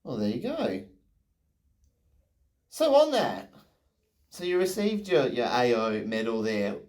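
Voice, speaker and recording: A man's voice: medium pitch, huge pitch swings, slow pace, a little mellow. An enthusiastic man interviewing a guest over a video call, full of effusive praise.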